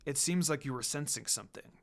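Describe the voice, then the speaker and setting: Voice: medium pitch, fast talker, vocal fry, a bit bright, pitched below the performer's own voice. A reader voicing a stern, protective demon lord and older brother in an amateur story reading. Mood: grave, probing.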